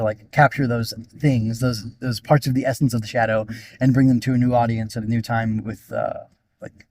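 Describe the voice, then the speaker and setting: A man's voice: mid-range voice, a little mellow, dry recording. Man rambling casually, close dry sound. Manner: rambling, thinking aloud, self-interrupting. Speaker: podcast host reviewing audio drama. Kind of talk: casual solo review, unscripted.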